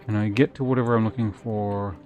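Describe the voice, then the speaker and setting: A man chatting casually on a game livestream, thinking aloud in hesitant, trailing half-sentences with a gravelly fry to his voice. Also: on the low side, steady tone, fairly quick, a little mellow. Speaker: game streamer. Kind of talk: casual livestream gameplay chat. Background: faint game music.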